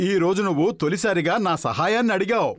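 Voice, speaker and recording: A man's voice: high voice, expressive, quick pace, dry recording, clean studio sound. A man voicing a cheerful, chubby cartoon hero who reassures others confidently, in a cartoon dub. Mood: reassuring. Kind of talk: cartoon dubbing.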